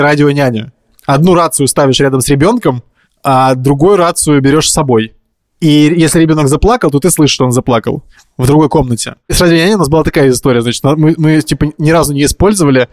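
Higher-voiced man chatting casually, dry sound. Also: quick pace, no echo. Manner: informal, slangy. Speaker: podcast co-host. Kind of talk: casual podcast chat, storytelling.

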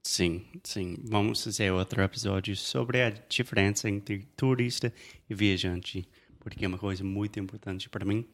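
Male podcast co-host, lowish pitch with plenty of fry, conversational teacher style. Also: steady tone, moderate pace. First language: English. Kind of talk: casual bilingual podcast chat.

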